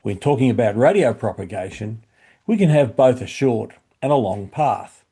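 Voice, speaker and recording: An Australian man narrates an educational explainer. His voice sits at an average pitch, relaxed in tone with a husky grain. He speaks as an instructor working through prepared material, setting up points with questions. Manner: instructive, uses rhetorical questions. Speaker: presenter of a shortwave radio listening channel.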